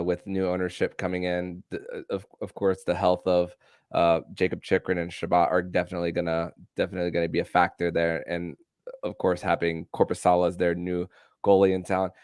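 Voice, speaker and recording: Deep-voiced, hesitant podcast host. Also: male voice, completely flat delivery, a little mellow, clean tone, dry recording, studio quality. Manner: hesitant, fills pauses with "uh" and repeats words. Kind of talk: casual sports podcast discussion.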